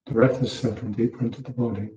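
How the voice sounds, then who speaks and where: A man leading a guided meditation as a teacher, giving calm, unhurried instructions in short, soft phrases. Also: medium pitch. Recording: muffled, echoey room, distant mic.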